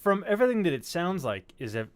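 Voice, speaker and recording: A man's voice: high-pitched, big pitch swings, fast talker. A man interviewing a guest in a casual, informal way, swearing freely as he goes. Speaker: interviewer, stream host.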